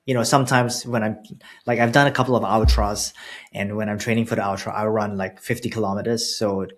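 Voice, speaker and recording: A man's voice: on the low side, fairly even intonation, a little fast. A man in his forties hosting an interview, asking questions with frequent restarts and repeated words. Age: 40s. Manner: hesitant, repeats words when starting. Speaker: podcast host.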